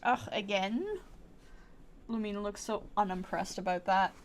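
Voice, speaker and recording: A woman's voice: mid-range voice, steady tone, a little slow. A woman streamer giving casual live gameplay commentary, with a playful, cutesy way of playing with words. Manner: playful, cutesy word play. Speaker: game streamer. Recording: faint background noise and game ambience.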